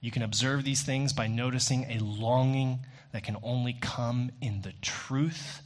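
Male preacher, medium pitch, earnest sermon delivery, deliberate, rhetorical phrasing. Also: fairly even intonation.

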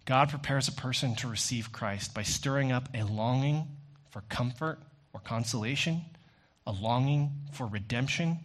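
A man's voice: mid-range voice, fairly bright tone. Earnest male preacher giving a sermon. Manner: earnest, deliberate, rhetorical phrasing.

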